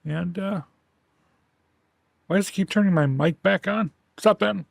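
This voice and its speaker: Gravelly man chatting on a livestream. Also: sits high, a little slow, no room sound, clean studio sound. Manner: rambling, many restarts and fillers; relaxed, soft-spoken. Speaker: streamer. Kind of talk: casual livestream chat. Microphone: headset.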